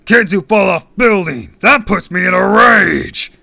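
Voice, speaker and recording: A man voicing a huge, volatile comic-book supervillain, loud and booming, bellowing explosive threats in a storybook reading. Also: unhurried, vocal fry, no room sound, heavily acted. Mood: enraged, booming.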